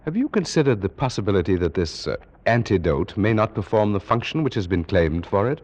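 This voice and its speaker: An actor playing a cultured scholar with a secret ancient past, speaking in a formal, dramatic style from 1940s radio. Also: male voice, fairly low pitch, very animated, fairly quick, a little mellow, slight background noise. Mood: probing, uneasy. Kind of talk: 1940s radio acting and first-person narration. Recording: muffled vintage radio recording.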